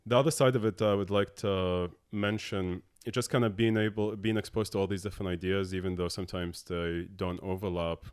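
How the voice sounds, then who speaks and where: A man in his thirties from Abu Dhabi, a tech co-founder talking as a podcast guest in a halting way with many filler sounds. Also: deep voice, fairly even intonation, clean tone. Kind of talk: casual interview talk.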